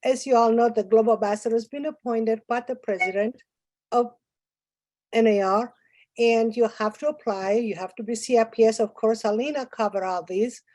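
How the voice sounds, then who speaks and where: Greek-accented woman presenter, warm dark tone, dry sound, halting delivery. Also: fairly high pitch, fairly even intonation, no room sound, poor audio. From Greece. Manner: hesitant, with many fillers and broken phrasing. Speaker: guest speaker. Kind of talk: conference presentation.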